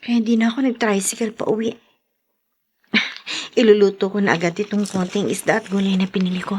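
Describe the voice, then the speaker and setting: A woman's voice: mid-range voice, fairly even intonation, fairly quick, a little mellow, a little hiss or hum, natural voice. A woman playing a sweet, devoted young daughter in a Filipino radio drama, with a Filipino accent. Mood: tired, chatty.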